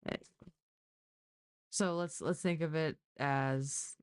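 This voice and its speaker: Low-pitched woman chatting casually. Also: steady tone, slow pace, smooth, no echo, clean studio sound. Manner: informal, opinionated. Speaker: live stream host. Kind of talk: casual chat, true crime commentary.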